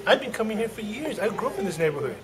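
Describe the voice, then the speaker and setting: A man's voice: high-pitched, very animated, very fast. A man voicing a brash, slangy, swaggering puppet in an improvised amateur puppet show. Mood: defensive, cocky. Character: cocky male street-smart puppet, brash and flirtatious. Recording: noisy room with loud chatter.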